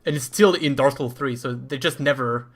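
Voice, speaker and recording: A man's voice: medium pitch, normal speed. A man with a French accent commentating live over his own speedrun in a chatty, self-deprecating way.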